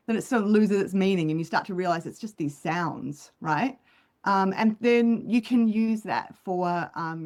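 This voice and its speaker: Woman, New Zealand accent with Australian overlay, lowish voice, thoughtful, halting ums, video-call podcast guest. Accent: New Zealand English with Australian overlay. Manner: thoughtful, many ums and restarts. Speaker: clinical psychologist guest. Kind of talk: casual podcast conversation.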